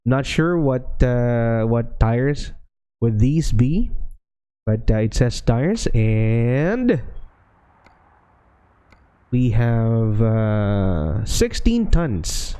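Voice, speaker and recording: A man with a Filipino accent giving relaxed gameplay commentary as a channel host, talking hesitantly with many fillers and restarts. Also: medium pitch, extremely slow, long pauses, clear, resonant tone. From Philippines.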